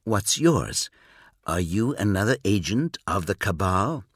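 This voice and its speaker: A man's voice: deep voice, big pitch swings, measured pace, husky, no echo, clean studio sound, exaggerated voice. A man voicing a sardonic, weary human man. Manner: sarcastic, bitter. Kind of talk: audiobook reading.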